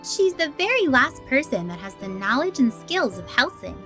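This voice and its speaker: A woman's voice: high-pitched, very animated, normal speed, a bit bright, soft background music. A woman playing a haughty, playful vampire mistress in a radio drama, with an imperious, teasing manner. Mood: bossy, playful.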